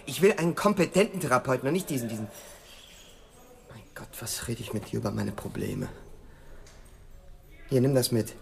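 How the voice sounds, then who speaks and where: An actor playing an arrogant, demanding imprisoned killer in a radio drama, with a gravelly, husky voice and a commanding, condescending manner. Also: male voice, medium pitch, normal speed, pauses often, lightly acted. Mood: irritated, dismissive. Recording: long hall-like reverb.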